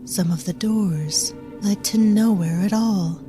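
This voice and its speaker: Slow, hushed woman storyteller. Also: mid-range voice, music in the background. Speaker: narrator. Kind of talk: dark storybook narration.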